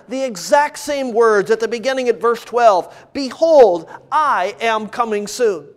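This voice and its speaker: A male preacher delivers an expository sermon in a strikingly high voice. He speaks at a slow, measured pace. He leans on key phrases with emphasis, repeating them deliberately.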